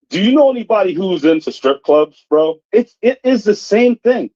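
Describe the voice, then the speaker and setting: A man's voice: on the high side, mellow. A man calling into a livestream, talking in a loose, rambling way. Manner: conversational, rambling with fillers. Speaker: caller. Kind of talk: casual call-in chat. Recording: dull lo-fi call audio.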